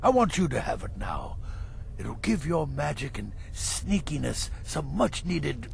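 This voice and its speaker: An actor playing a crotchety old assassin in a video game, croaky and theatrical, with wry, drawn-out phrases. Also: male voice, fairly high pitch, big pitch swings, slight background noise, heavily acted. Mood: gruff, warm. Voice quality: gravelly, croaky. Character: crotchety old male assassin, grudgingly approving. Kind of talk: video game character dialogue.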